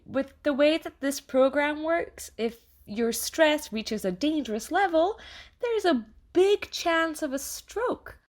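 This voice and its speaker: A woman's voice: fairly high pitch, exaggerated voice. A woman playing a chatty, sarcastic, easily flustered AI program in an audio drama, talking casually and stammering when rattled. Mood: breezy, teasing. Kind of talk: audio drama monologue in casual second-person address.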